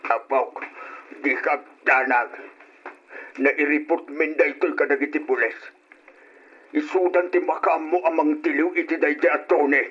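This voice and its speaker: A man's voice: on the high side, steady tone, moderate pace, a bit bright, slight background noise, close to an everyday voice. A man acting as an emotional, protective grandfather with a temper in an Ilocano radio drama. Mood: pleading, alarmed. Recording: muffled old radio drama recording.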